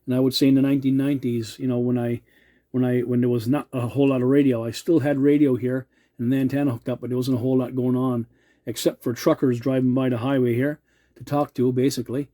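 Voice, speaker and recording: A man's voice: mid-range voice, level tone, fast, mellow. A middle-aged man from Canada chatting casually as a radio hobbyist, rambling a little and using radio lingo.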